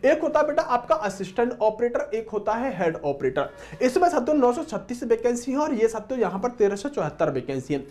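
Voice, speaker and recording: A man's voice: very high-pitched, sing-song, a little fast, a little mellow. A man speaking as an exam coaching teacher, explaining informally to students and often addressing them as friends. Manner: repeatedly addresses listeners as friends.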